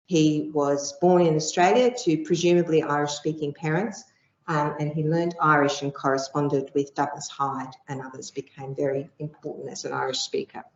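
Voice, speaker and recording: Middle-aged woman lecturer, low voice, measured, explanatory delivery, echoey room. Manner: measured, explanatory, with frequent ums. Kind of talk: academic lecture with slides. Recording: echoey room, dull lo-fi sound.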